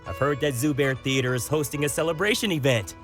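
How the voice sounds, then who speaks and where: An actor playing a jovial, friendly food merchant in a game who laughs often. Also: male voice, mid-range voice, quick pace, a bit bright, close to an everyday voice. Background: game background music.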